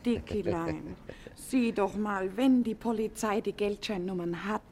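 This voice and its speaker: A woman's voice: mid-range voice, unhurried, a little mellow, close to an everyday voice. An actress playing a young, naive gangster's sweetheart in a 1950s German radio play, coaxing and fretful with girlish exclamations. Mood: coaxing, doubtful. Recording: noisy vintage radio play recording.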